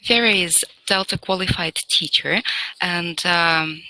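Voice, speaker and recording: Low bright-voiced woman moderating a webinar. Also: no echo, low-quality recording. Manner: polite, formal, halting with fillers. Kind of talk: hosting an online webinar.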